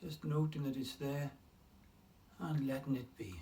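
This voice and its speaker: A man leading a guided mindfulness meditation in a calm, unhurried way, leaving long pauses between phrases. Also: mid-range voice. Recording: distant mic with faint room noise.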